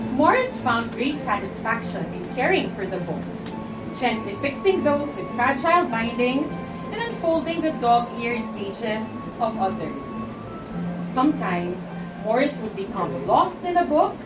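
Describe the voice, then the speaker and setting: Female storytime reader, teacher type. Dramatic, drawn-out delivery with lively pitch swings, heard from a distance over loud music. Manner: dramatic, with drawn-out words for emphasis. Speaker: teacher reading a picture book aloud. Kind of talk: expressive storytime read-aloud. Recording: roomy, distant mic under loud music and crowd. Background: loud music and crowd noise.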